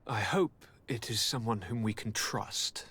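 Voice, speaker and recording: A man acting a sickly young prince in a video game cutscene, speaking formally and theatrically with weary sighs and a husky voice. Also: lowish voice, a little slow, fairly bright tone, heavily acted. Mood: cold, stern.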